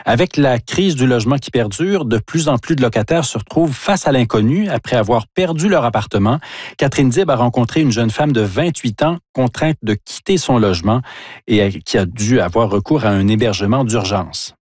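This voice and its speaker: Steady man reading news. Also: mid-range voice, moderate pace, no room sound, clean studio sound. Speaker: regional news presenter. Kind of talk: read news bulletin.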